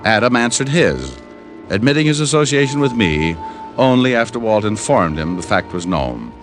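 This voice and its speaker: American man, streetwise private detective, old-time radio drama, lively swooping intonation, music on a vintage recording. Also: lowish voice, moderate pace. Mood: matter-of-fact narrating. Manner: slangy, wry, clipped. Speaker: lead actor and narrator of a detective series. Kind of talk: old-time radio detective acting, hard-boiled narration.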